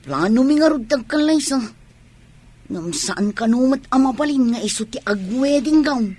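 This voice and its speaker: A woman's voice: high voice, animated, normal speed, darkish tone, husky. A woman playing an earnest grown child in love, pleading in an Ilocano radio comedy drama. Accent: Filipino, Ilocano.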